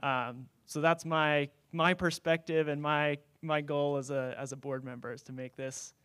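Casting note: male board member, even and monotone delivery, clean dry sound. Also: fairly high pitch, clear, resonant tone, dry recording. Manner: quick, earnest, self-correcting. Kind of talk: conference panel introduction. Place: conference hall on stage. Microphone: handheld mic.

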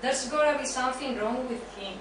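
A woman's voice: high-pitched, a little fast, lightly acted. A woman playing a blunt, brash young man in an amateur sitcom reading. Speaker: student in an amateur dramatized reading. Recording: distant, very echoey room, faint noise.

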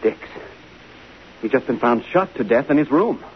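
A man's voice: mid-range voice, lively intonation, normal speed, soft background music, lightly acted. An actor playing a flustered male assistant film director in a 1950s radio drama. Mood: shocked. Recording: hissy, muffled 1950 radio broadcast.

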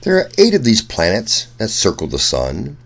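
Guy reading a kids rhyming book out loud, super animated, pitch going all over, sing-song. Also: moderate pace, creaky. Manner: expressive, sing-song storytelling. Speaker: children's story reader, host. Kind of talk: read-aloud rhyming children's picture book.

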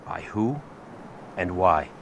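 Curt American biker antihero, very low voice, deadpan, menacing, faint noise, game cutscene. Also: male voice, slow, creaky, heavily acted. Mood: cold, menacing. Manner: terse, deadpan, threatening. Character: tough brooding vengeful biker hero, curt and menacing. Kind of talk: video game cutscene acting.

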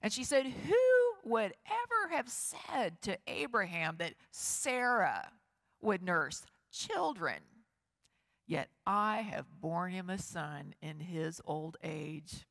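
A middle-aged woman pastor reading scripture and preaching, with a measured, expressive delivery and slow emphatic pauses. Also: lowish voice, gravelly. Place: large wood-paneled church sanctuary. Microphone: lectern and stand microphone, far camera. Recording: long church hall reverb.

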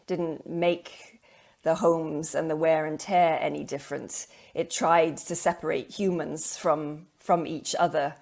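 A woman's voice: fairly low pitch, darkish tone. A middle-aged woman giving an academic lecture on art over slides, thoughtful and hesitant, with many ums and repeated words, on a video call. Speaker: keynote lecturer.

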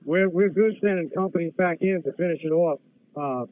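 A man's voice: a little high, a little fast, no room sound. A man working as a fire operations officer, giving urgent, procedural radio traffic over a two-way radio. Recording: narrow tinny radio channel with noise and clicks.

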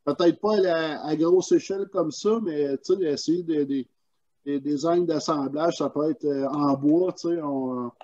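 A man with a Quebec French accent hosting a casual video call interview, asking halting questions with lots of fillers. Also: a little high, mellow. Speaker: host. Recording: muffled lo-fi call audio.

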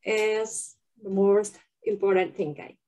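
A woman with a Japanese accent speaking slow, hesitant English on a webinar video call, pausing often to find words. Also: medium pitch, level tone, a little mellow. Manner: hesitant, many fillers, searching for English words. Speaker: webinar panelist. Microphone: video call laptop mic.

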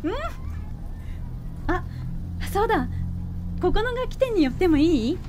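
A woman playing a cheerful, teasing young girlfriend in a radio drama, with a bright, girlish manner. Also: very high voice, sing-song, a little slow, a little hiss or hum, full character voice. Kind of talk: Japanese radio drama acting.

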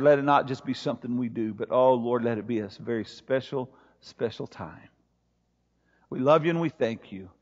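A man in his sixties, a pastor preaching emphatically to a congregation, repeating phrases and addressing listeners directly. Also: sits high. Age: 60s. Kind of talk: sermon to a congregation. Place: church stage before a congregation, indoors. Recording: muffled, long hall reverb.

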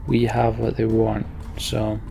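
A man's voice: on the low side, monotone, takes it slowly, creaky, warm tone, soft background music. A man hosting a Let's Play, giving casual game commentary and reading the story text aloud.

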